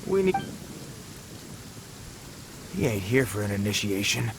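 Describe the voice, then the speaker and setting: A man's voice: on the low side, sing-song, measured pace, background noise. A male actor playing a nervous, deferential small-time crook in a video game, stammering and hesitant. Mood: alarmed. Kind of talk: acted video game dialogue. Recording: game dialogue with rain ambience behind.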